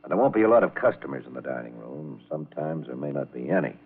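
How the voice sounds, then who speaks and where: An actor playing a gruff, jealous, bossy nightclub owner in an old-time radio crime drama, with a gravelly, husky voice. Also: male voice, very deep voice, big pitch swings, quick pace, heavily acted. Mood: curt, businesslike. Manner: blunt, bossy, menacing. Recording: tinny, narrow-band vintage radio recording.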